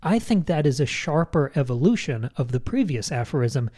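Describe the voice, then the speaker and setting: A man's voice: mid-range voice, big pitch swings, normal speed, a little mellow. A man co-hosting a book discussion podcast, speaking in an articulate, explanatory and analytical way. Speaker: podcast co-host.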